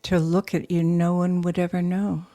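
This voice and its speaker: A woman acting a composed, low-voiced young widow boss. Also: little pitch movement, normal speed, mellow, soft background music, lightly acted. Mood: probing, calm. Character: composed young widow running a steel mill, guarded. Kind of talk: audio drama acting.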